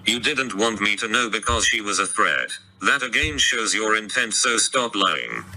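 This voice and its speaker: A man's lowish, very sharp voice confronts someone over a phone call with faint noise. He is terse and accusatory, and swears bluntly. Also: lively intonation, normal speed.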